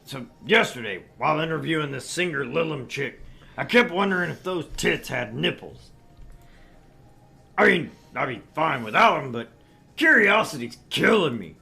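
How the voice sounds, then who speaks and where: A man's voice: on the high side, expressive, moderate pace, creaky, pushed and bright, heavily acted. A man voicing a brash, crude, sleazy middle-aged tabloid editor in a visual novel read-through, with a pompous, pushy manner. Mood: crude, musing.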